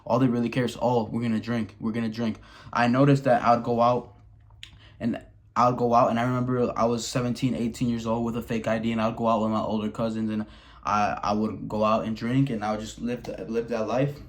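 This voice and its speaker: A man's voice: medium pitch, level tone, brisk. A man in his early twenties from Wisconsin, talking bluntly and candidly to camera, swearing often and restarting his sentences. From Wisconsin, USA. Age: 20s. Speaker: vlogger. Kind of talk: candid personal monologue.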